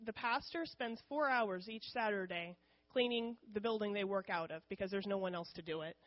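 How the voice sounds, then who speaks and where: A woman speaks to her church congregation about missionary work in a frank, unpolished, conversational way, often pausing on "um." Her voice sits at a medium pitch. The recording is slightly dull and lo-fi. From United States. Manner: conversational, frequent ums, candid. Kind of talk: informal church missions presentation.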